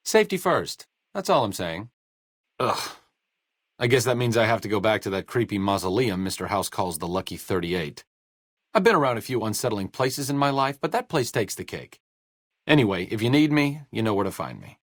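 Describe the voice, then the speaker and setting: Video game companion: a bookish, sarcastic male doctor, idealist and self-deprecating, very varied pitch, no room sound. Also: no echo. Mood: wry, grumbling. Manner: dry, sarcastic, wordy delivery.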